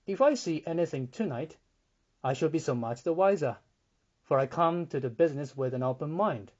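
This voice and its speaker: A self-assured gentleman visitor in a gothic audiobook, acted by a male reader. He declaims firmly and defiantly in a lifted voice. The sound is slightly dull. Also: on the high side, lively intonation, moderate pace. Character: confident, open-minded gentleman visitor, defiant and brisk.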